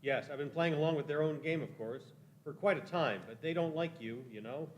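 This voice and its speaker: A man's voice: fairly high pitch, a little fast, a little hiss or hum, natural voice. A man playing a shifty, cowardly, ingratiating time traveler in a radio play, wheedling and evasive. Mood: sly, ingratiating. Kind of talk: live radio-play acting.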